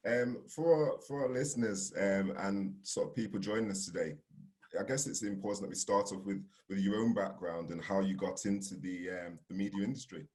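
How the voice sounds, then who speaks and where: Male panel host, low-ish voice, hesitant questioner with ums and restarts. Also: fairly even intonation, moderate pace, darkish tone. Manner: hesitant, many fillers and restarts. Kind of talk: interview questions.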